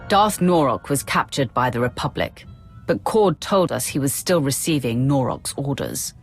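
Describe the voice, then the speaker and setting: An actress playing a composed, commanding Sith warrior in a video game, speaking formally and with authority. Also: female voice, rumbling low, expressive, moderate pace, soft background music. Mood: stern. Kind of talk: dramatic video game acting.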